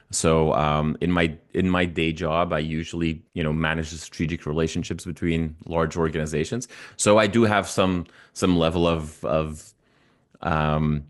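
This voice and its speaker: A man in his forties from Belgium, a native Dutch speaker with a Belgian Dutch accent, talking casually in an interview in a halting, self-correcting way. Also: rumbling low. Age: 40s. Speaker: interview guest, music YouTuber.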